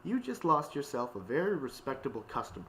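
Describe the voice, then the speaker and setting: A man's voice: a little high, very animated, normal speed, fairly bright tone, slight background noise, voice pushed up. A man voicing a prim, haughty female pony musician in a storybook, with an airy, affected politeness. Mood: indignant, haughty.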